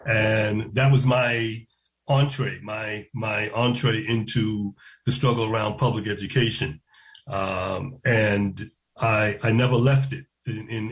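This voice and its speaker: A man, a guest recalling his past in an interview, slow and reflective and often repeating words as he restarts. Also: on the low side. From New York. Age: 70s. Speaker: guest, education activist. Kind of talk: interview, personal recollection.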